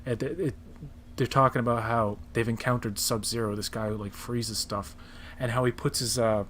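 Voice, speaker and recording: A man's voice: medium pitch, steady tone, normal speed, fairly bright tone, slight background noise. A man chatting casually and enthusiastically, with strong opinions, as a panelist on a pop culture show. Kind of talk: casual chat about movie trailers.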